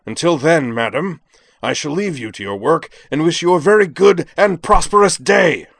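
A man's voice: on the low side, expressive, normal speed, vocal fry, a bit bright, dry recording, voice pushed up, heavily acted. A man voicing an indignant young alien student who declaims in a pompous, wordy, theatrical way, in an audiobook-style story. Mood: indignant, declamatory.

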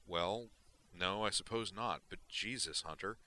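A man's voice: low-pitched, a little slow, sharp tone, heavily acted. A man voicing a laid-back young man in a story reading, incredulous and full of questions.

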